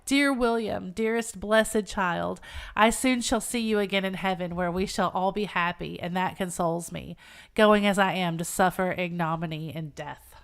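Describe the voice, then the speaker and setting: A woman voicing a gentle, resigned young woman condemned to death, solemn and earnest, in a novel reading. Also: on the low side, fairly even intonation, moderate pace, creaky, clean tone, pitched below the performer's own voice. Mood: resigned sorrow.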